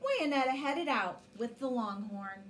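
A woman's voice: on the high side, animated, unhurried, slight background noise. A woman in her forties reading a children's story expressively as a storyteller, indoors.

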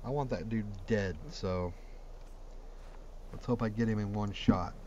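Low-voiced man gaming over background noise. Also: measured pace, warm tone, highs cut off. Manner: blunt, sweary. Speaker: gamer reviewing a game mod. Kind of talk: casual gameplay commentary.

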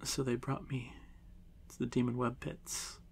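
A man voicing a shy, haunted drider monster boy in an ASMR fantasy roleplay, stammering softly and often slipping into breathy whispers. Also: mid-range voice, level tone, measured pace, slight background noise. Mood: shaken, apologetic. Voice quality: soft, breathy, creaky.

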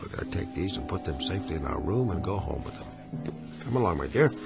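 A self-righteous, fussy married man in a radio play. His voice is low and pompous, breaking into stammers when he is flustered, with music clearly underneath. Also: big pitch swings, fast talker, heavily acted. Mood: smug. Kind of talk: radio drama acting.

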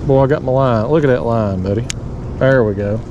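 A man's voice: a little fast, dull audio. Folksy Southern man fishing from a kayak. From Tennessee. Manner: folksy, excitable drawl, gets loud and high when a fish bites. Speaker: fishing channel host. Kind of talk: casual fishing vlog narration. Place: kayak on a lake, outdoors. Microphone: action camera mounted on the kayak. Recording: outdoor action-cam audio with noise.

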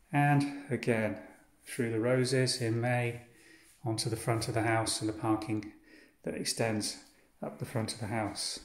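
A man with a mid-pitched voice walks through a cottage with a handheld camera, listing furniture and fittings one by one. His delivery is relaxed and itemizing, with pauses as he moves between rooms. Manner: unhurried, descriptive, pausing between items. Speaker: holiday cottage owner giving a tour. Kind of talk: guided walk-through house tour. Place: inside a cottage, walking room to room.

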